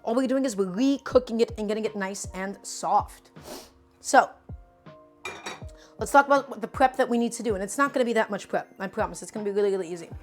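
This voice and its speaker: Woman, native Russian speaker, chatty cooking livestream, mid pitch, soft music behind. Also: quick pace. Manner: chatty, answers viewer chat while cooking. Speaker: cooking streamer. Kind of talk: live cooking demonstration. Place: home kitchen.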